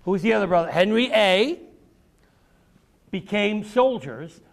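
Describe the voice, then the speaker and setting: Guy with a pretty high voice doing a kids history talk q&a, repeats things for them. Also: expressive, unhurried. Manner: addresses children directly, repeats questions and key phrases. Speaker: author giving a library talk to children. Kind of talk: children's educational talk with question and answer. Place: public library room with a group of children.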